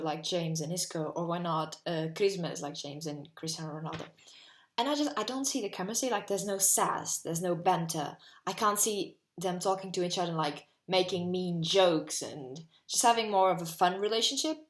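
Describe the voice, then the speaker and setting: A woman's voice: medium pitch, echoey room. A 19-year-old woman from Portugal with a Portuguese accent, chatting casually and rambling to camera from her bedroom, full of "like". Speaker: vlogger.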